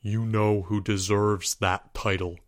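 A man voicing a serious schoolboy who speaks low, hushed and solemn. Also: flat delivery, takes it slowly, no echo, clean studio sound. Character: grave schoolboy leading a class protest.

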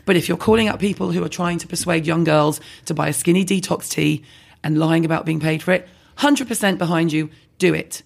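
A woman with a husky, heavily gravelly voice talks fast and bluntly. She is animated and self-deprecating, trading candid views in a podcast conversation. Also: lowish voice. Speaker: skincare blogger guest.

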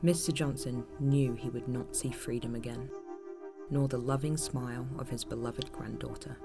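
A man narrating a dark audio drama anthology as a grave, ominous storyteller, measured and solemn. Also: medium pitch, steady tone, creaky, natural voice. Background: prominent music.

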